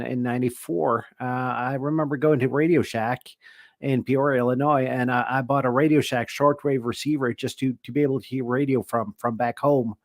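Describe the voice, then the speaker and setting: A man's voice: medium pitch, no room sound. Man, middle-aged, Norwegian accent, host, casual chat, restarts phrases, dry close sound. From Norway. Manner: thoughtful, restarts phrases often. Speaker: ham radio show host. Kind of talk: casual live-stream chat.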